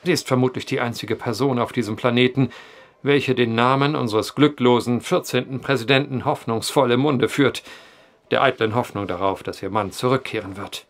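An actor playing a brooding, eloquent storyteller at a bar in an audio drama, wordy and theatrical. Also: male voice, medium pitch, normal speed, lightly acted. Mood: grave.

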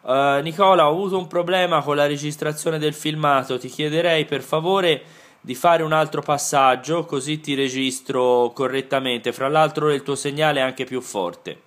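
A man's voice: on the high side, steady tone, normal speed, a bit bright. A man talking casually as an amateur radio operator, passing the turn in an on-air exchange. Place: indoor room beside vintage radio sets.

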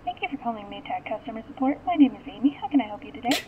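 A woman's voice: a little high, expressive, rapid-fire, heavy background noise. A woman working as a customer service agent, talking politely to a caller over the phone. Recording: thin, tinny phone line.

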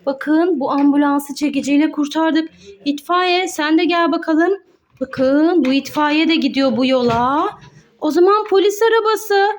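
Woman, very high voice, playful toy-video narration, exclamatory, sing-song, talking to children. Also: measured pace.

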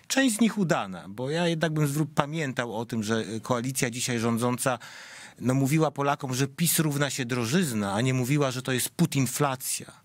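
A man's voice: mid-range voice, no echo. A man in his thirties hosting a studio panel discussion, asking long probing questions with frequent restarts. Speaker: TV talk show host. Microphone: studio mic.

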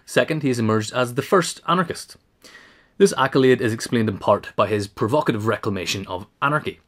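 A man's voice: mid-range voice, expressive, fairly quick, a bit bright. A man reading scripted narration, as in an audio essay. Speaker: reader.

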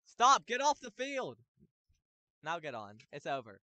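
A young man calling plays in an online football game, shouting touchdowns and then laying down the rules to the players. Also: high-pitched, wildly swooping pitch, unhurried, no room sound. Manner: hype announcer yelling touchdowns, then bossy about rules. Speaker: game commentator and league host. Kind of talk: excited play-by-play commentary.

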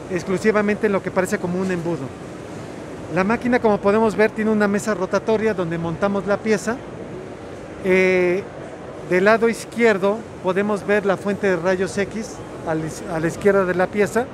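A middle-aged man giving a technical product demonstration at a trade show, explaining step by step with frequent "eh" fillers. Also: high voice. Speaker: product specialist. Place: large indoor trade show hall. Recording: noisy trade show hall.

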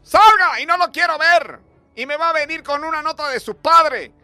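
A man's voice: very high-pitched, normal speed, sharp tone, no echo, heavily acted. A man playing an irate, bullying schoolteacher with a Bolivian accent in a comic sketch, scolding and drawing out exasperated words. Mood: furious. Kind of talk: comic monologue, classroom sketch.

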